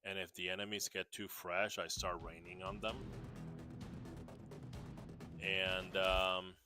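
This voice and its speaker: A man's voice: low voice, little pitch movement, slow pace, music in the background. A man from Puerto Rico casually showing off his game character builds, rambling with lots of fillers and restarts. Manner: rambling, laid-back, many fillers and restarts. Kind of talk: casual game showcase chat.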